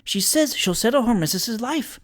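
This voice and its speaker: Narrator acting nervous little storybook woman, high-pitched, trembling, easily horrified, gossipy. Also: animated, normal speed, close to an everyday voice. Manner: trembling, alarmed exclamations.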